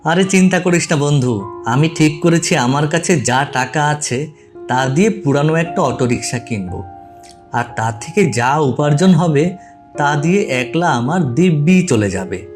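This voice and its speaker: Man playing a carefree young rickshaw driver, higher-pitched. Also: animated, unhurried, music in the background. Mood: confident. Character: young carefree village-born auto-rickshaw driver, eager to earn. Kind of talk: animated story dialogue.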